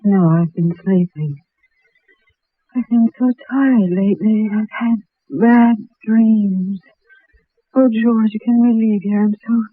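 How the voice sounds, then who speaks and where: An actress playing a frail, exhausted, frightened wife in a radio drama, hesitant and pleading. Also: female voice, mid-range voice, slightly rough, no room sound. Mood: weary. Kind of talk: old-time radio drama acting. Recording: dry, tinny phone-like old radio recording.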